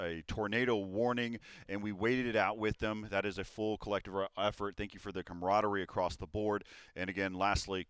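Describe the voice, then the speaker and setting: A man's voice: lowish voice, fairly bright tone, clean tone, dry recording. A young man casting esports, hosting a live desk wrap-up in an upbeat way with many fillers. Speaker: esports caster and host.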